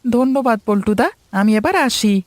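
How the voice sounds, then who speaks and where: A woman's voice: on the high side, normal speed, dry recording, clean studio sound, close to an everyday voice. A woman voicing an earnest, polite and hardworking poor village boy in a cartoon story. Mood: agreeable.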